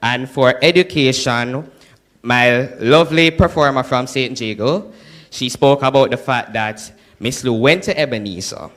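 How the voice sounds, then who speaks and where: A young Jamaican man giving a lively presentation to an audience, often checking in with "right?". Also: mid-range voice, a little slow. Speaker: student presenter.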